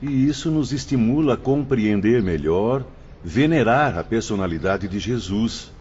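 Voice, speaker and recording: A man's voice: lowish voice, unhurried, a little hiss or hum. An earnest, self-doubting pupil in an audiobook drama. His formal lines rise and fall widely, on a slightly muffled recording with faint ambience behind. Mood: reverent. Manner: earnest, expressive, formal diction. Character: humble, earnest male student spirit, unsure of himself. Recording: dull lo-fi, faint background ambience.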